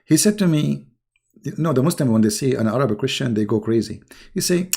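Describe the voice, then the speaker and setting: Hoarse, taunting man with gravelly voice. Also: medium pitch, expressive, fairly quick, dark tone. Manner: taunting, insulting, rambling. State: hoarse, losing his voice. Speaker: online religious commentator. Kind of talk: mocking casual monologue.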